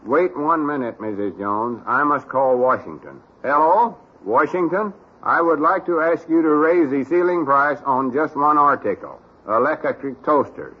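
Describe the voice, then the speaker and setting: A man's voice: fairly high pitch, lively intonation, a little slow, hardly any pauses, husky. An actor playing a bossy, self-important country man with a Southern US accent, officious and exasperated, in an old-time radio comedy. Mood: stiff, pompous. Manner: exasperated, officious, stiffly pompous when reading. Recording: muffled, worn old radio recording.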